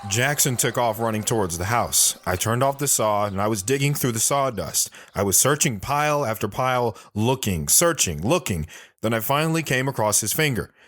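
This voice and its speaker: A man's voice: medium pitch, expressive, moderate pace, a bit bright, lightly acted. A mild, self-deprecating storyteller, played by a man in an audio drama. He narrates in the first person in a mid-range male voice. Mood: earnest storytelling. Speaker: storytelling radio show guest.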